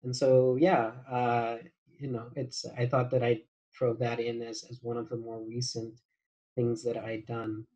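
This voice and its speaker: A man's voice: medium pitch, completely flat delivery, measured pace, dark tone, poor audio. A man speaking as a panelist on a video call, reflective and searching for words with many fillers. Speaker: panelist, course instructor.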